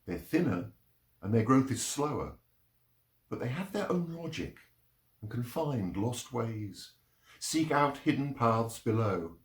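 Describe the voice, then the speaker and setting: Expressive man reading literature, slow and echoey. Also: medium pitch. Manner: measured, expressive storytelling delivery. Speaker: reader of poems and short stories. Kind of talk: literary reading aloud, performed narration. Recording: roomy, echoing sound.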